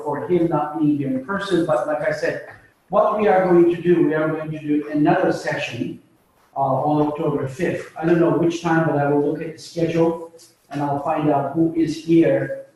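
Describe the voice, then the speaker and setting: Guy hosting a class session, kinda high voice, super echoey room, mic far away. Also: darkish tone. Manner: loose, repeats and corrects himself. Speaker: session organizer introducing speaker. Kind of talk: casual classroom introduction. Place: lecture room with tiered seats.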